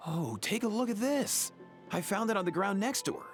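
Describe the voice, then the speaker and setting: A man's voice: sits high, animated, moderate pace. A man acting as a laid-back, cautious young vet in a video game, with a casual, dry and slightly hesitant delivery. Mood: careful, puzzled. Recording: music under the voice.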